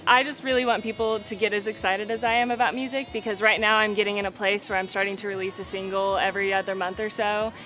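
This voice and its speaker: A woman's voice: mid-range voice, fairly even intonation, quick pace, hardly any pauses, pushed and bright, faint music behind, poor audio. A young adult woman, a singer-songwriter, talking casually and candidly in an interview, peppering her speech with "like" and "you know".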